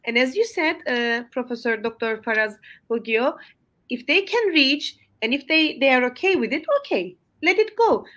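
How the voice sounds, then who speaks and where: High-voiced woman with a Turkish accent. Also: expressive, moderate pace, a bit bright. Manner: hesitant, many fillers and restarts. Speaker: university lecturer hosting a webinar. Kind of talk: webinar closing remarks.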